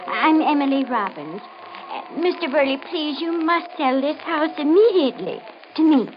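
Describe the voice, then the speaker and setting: Earnest pleading woman, old radio play, high voice, muffled sound. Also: lively intonation, moderate pace. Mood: urgent, earnest. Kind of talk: 1950s radio acting. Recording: dull, noisy old radio broadcast.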